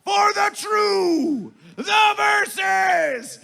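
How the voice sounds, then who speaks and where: A man working as a festival master of ceremonies, making bombastic, mock-ceremonial announcements in a gravelly voice and chanting repeated phrases. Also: very high voice, animated, drawn-out words, pushed and bright, shouting, rough recording. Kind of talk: theatrical chanted stage announcements. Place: live music festival stage. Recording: music behind the voice. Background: music.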